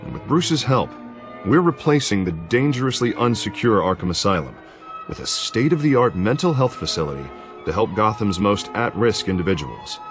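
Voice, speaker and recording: An actor playing a charming, self-assured, ambitious American politician in a video game. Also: male voice, fairly low pitch, very animated, normal speed, soft background music. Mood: upbeat, confident. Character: smooth, upbeat, ambitious male politician. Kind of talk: video game character acting.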